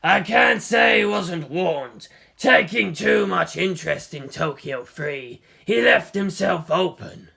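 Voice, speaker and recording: A narrator voicing a cool British bureaucrat conspirator who speaks slowly and deliberately. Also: mid-range voice, lively intonation, vocal fry, a crowd in the background, full character voice. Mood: cool, pointed. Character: long-chinned British bureaucrat conspirator, coolly matter-of-fact.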